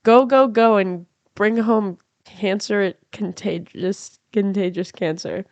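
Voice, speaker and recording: A woman improvises comedy scenes on a podcast with brash, crude humor. Her pitch sits in the middle range. The room sound is dry. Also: fairly even intonation, darkish tone, no room sound, lo-fi sound. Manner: brash, crude, quick jokes. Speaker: podcast guest. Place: house.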